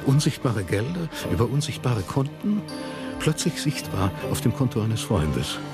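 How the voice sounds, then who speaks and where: An actor playing a proud, cynical older father and property tycoon in a German radio play, measured and self-satisfied. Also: medium pitch, sing-song, creaky, loud music behind the voice. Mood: smug.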